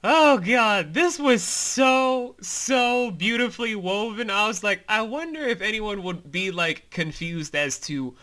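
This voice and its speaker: A man's voice: high voice, slow pace, few pauses, pushed and bright. A man co-hosting a behind-the-scenes commentary as the creator, chatting casually and explaining things in an enthusiastic, rambling way.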